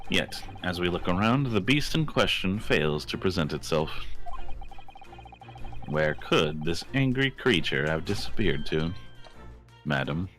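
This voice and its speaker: A man's voice: low-pitched, sing-song, measured pace, long pauses, fairly bright tone, faint music behind, full character voice. A man voicing a pompous, theatrical Victorian detective with formal, grandiloquent delivery in a game fandub. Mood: grand, accusing.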